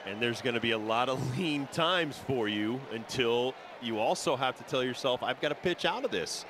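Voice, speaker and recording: A man's voice: mid-range voice, lively intonation, normal speed, a crowd in the background, background noise. A man giving live baseball analysis as a color commentator, explaining in a conversational way.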